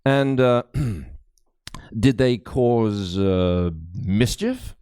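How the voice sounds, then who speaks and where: A man playing a cool, skeptical medieval investigator in an audiobook, speaking in a measured, halting, businesslike way. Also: medium pitch, mellow, clear, resonant tone, dry recording, clean studio sound, voice pushed down. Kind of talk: dramatic audiobook character acting.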